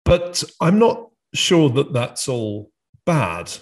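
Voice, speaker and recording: A British man hosting a discussion, speaking in a measured way with frequent hesitations and restarts. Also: mid-range voice, sing-song. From United Kingdom. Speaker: podcast host. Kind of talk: conversational discussion.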